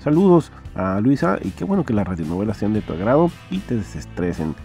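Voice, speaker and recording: A male podcast host chats casually in a mellow voice. His pitch moves around a lot as he greets listeners, with soft music underneath. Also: sing-song, a little fast, gravelly. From lives in California. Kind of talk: casual chat, reading listener comments.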